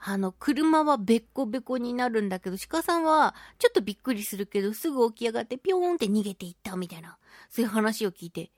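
A woman hosting a solo radio talk show, chatting about daily life in an animated, giggly, exclamatory way. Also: fairly high pitch, fast, no room sound, clean studio sound. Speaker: voice actress.

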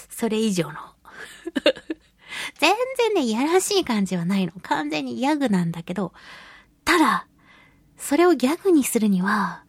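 A woman's voice: mid-range voice, husky, no echo. A woman hosting a casual solo radio show, chatting expressively and giggly as she reads and responds to listener mail. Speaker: radio show host, voice actress.

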